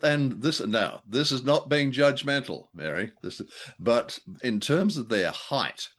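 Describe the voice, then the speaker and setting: A man's voice: medium pitch, lively intonation, slow, a bit bright. A man co-hosting a casual review chat, talking in a wry, jokey way with wordy asides. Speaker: podcast co-host. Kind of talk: casual review chat about an old radio play.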